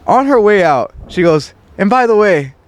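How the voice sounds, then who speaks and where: A man from Arizona with an American accent, hosting a casual conversational interview in an animated, joking storytelling way. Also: high-pitched, moderate pace, dry recording. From Arizona, United States. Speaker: podcast host and content creator.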